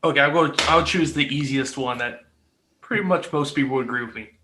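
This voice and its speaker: A man's voice: mid-range voice, fairly quick, background noise. A man chatting casually as a panelist, blunt, salty and sarcastic. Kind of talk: casual opinionated chat.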